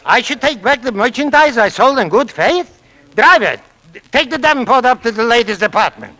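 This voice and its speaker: A scrappy, blustering shopkeeper in an old 1940s radio play. His voice is very high and bright, swooping about with sarcastic indignation. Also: lively intonation, normal speed, heavily acted. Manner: blustering, sarcastic, inverted Yiddish-flavoured phrasing. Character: excitable, indignant male shopkeeper, scrappy and wisecracking.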